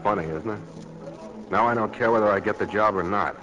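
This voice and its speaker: A man playing a breezy, self-deprecating young newspaperman, brisk and wry, in 1940s radio drama style. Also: on the low side, dull audio, a crowd in the background, close to an everyday voice. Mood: wry, smitten.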